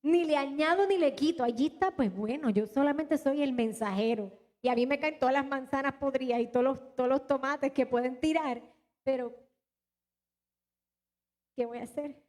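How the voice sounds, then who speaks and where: A woman's voice: sits high, normal speed, darkish tone. A Puerto Rican woman pastor with a mixed Latin American Spanish accent, preaching animatedly and talking straight to her congregation in a church. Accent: mixed Latin American Spanish, little Puerto Rican. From Puerto Rico. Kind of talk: live church sermon and announcements. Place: church hall.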